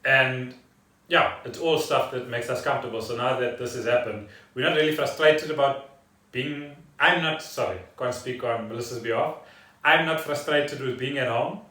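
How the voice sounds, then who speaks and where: A man with a medium-pitched voice talks casually as a podcast co-host. His phrasing is halting and deliberate. The sound is roomy and echoey, a little away from the mic. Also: bright, forceful tone. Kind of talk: casual unscripted chat. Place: echoey room at home. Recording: roomy echo, distant from mic.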